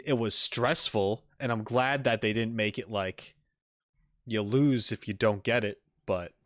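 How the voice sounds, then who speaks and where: A man streaming a game playthrough in a casual, conversational way. His voice sits at an average pitch and is recorded dry and close. He chats through his reactions in short asides. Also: a bit bright, no echo. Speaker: gaming streamer.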